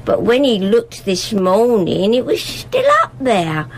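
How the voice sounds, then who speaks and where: A woman's voice: medium pitch, expressive, slow pace, rough recording. A woman playing a chatty, nosy village shopkeeper who rambles politely to her customers in a live radio play. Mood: gossipy, excited. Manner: gossipy, rambling, deferential to customers.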